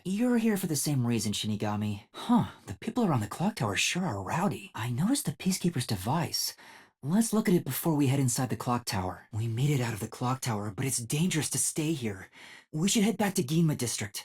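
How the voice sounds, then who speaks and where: Boyish young male detective, timid, earnest, light breathy voice, lively intonation, hesitant, video game dialogue. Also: medium pitch. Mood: curious, tentative. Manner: hesitant, earnest, thinking aloud. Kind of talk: English video game voice acting.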